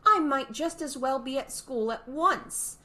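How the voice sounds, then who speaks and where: A woman's voice: high voice, expressive, unhurried, sharp tone, pitched above the performer's own voice, exaggerated voice. A woman voicing a timid, earnest, polite young girl in a storybook reading. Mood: indignant. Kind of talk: dramatized children's storybook reading.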